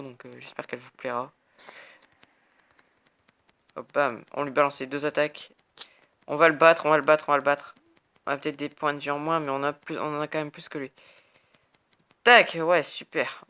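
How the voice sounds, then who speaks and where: A man's voice: on the high side, pushed and bright. A young man who has a cold, giving rambling casual commentary over a video game. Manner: rambling, full of "hop", "bam", "tac" sound words. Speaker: gaming YouTuber.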